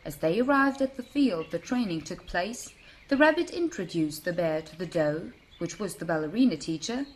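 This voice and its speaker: A woman storyteller narrating a scripted bedtime tale for children in an expressive manner. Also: fairly low pitch, normal speed, a little hiss or hum, poor audio.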